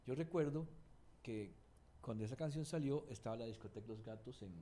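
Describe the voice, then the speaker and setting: A man's voice: medium pitch, a little fast, warm tone. An older man from Cuba, a singer-songwriter guest telling rambling anecdotes with many restarts. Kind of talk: anecdotal interview talk.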